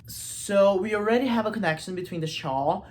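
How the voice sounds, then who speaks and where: A woman's voice: medium pitch, moderate pace, a little mellow, a little hiss or hum. A woman hosting a casual, chatty literature analysis, informal and playful with asides to the viewer. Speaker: host of a literature video series.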